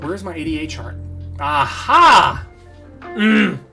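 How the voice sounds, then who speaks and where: A man hosting a market analysis channel, slightly hoarse, talking bluntly and emphatically with lots of fillers and repeated phrases. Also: high-pitched, sing-song, slow, sharp tone, faint music behind. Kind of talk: casual chart analysis talk.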